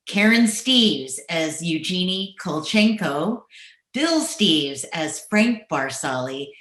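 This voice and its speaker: Female announcer: lowish voice, measured scripted credits read, noticeably roomy, echoey sound. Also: fairly bright tone. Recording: echoey room on video call.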